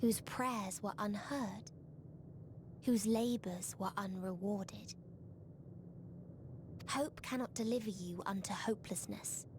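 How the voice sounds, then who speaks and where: Actress doing a mournful fatalistic game woman, really slow and solemn, old-timey wording, noisy background. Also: medium pitch, lightly acted. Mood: grave, resigned. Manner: solemn, slow, formal archaic phrasing. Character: sorrowful, fatalistic female being urging surrender to despair. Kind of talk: scripted video game voice acting.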